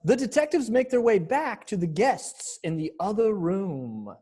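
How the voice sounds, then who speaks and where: A woman's voice: mid-range voice, sing-song, a little slow, mellow, slightly rough. A woman narrating an amateur mystery play in a playful, showy radio announcer style. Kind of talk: old radio mystery show narration, amateur readers theater.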